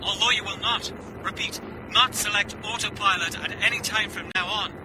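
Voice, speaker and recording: An actor playing an authoritative flight controller in a radio drama, speaking formally with deliberate emphasis. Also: male voice, steady tone, lightly acted. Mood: firm, instructing. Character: authoritative male flight controller giving careful emergency instructions. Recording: noisy, with aircraft rumble.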